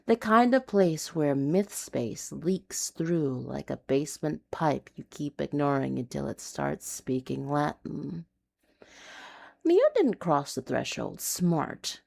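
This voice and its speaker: A woman reading a noir urban fantasy story in a hushed, dramatic, deliberate way. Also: deep voice, vocal fry. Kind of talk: scripted noir confessional storytelling.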